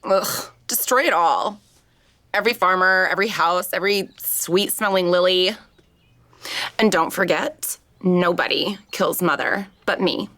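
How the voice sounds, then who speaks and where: A woman's voice: fairly low pitch, unhurried, pushed and bright. A woman playing a vain, cruel ice princess, theatrical and imperious, in a table read of an audio drama. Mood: cruel relish. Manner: theatrical, haughty.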